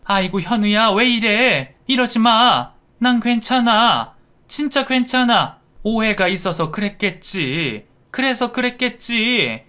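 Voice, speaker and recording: A man's voice: high-pitched, lively intonation, slow pace, bright, forceful tone, clean tone, voice pushed up, exaggerated voice. A man voicing a meek, anxious older mother in a narrated story, pleading and placating. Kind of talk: acted dialogue in a narrated story.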